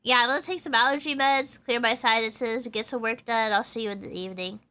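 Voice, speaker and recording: A woman's voice: a little high, fairly even intonation, brisk, pushed and bright. A young woman streaming a game, chatting casually and rambling with lots of fillers, her nose stuffy from allergies. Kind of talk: casual gameplay stream chat. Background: game music.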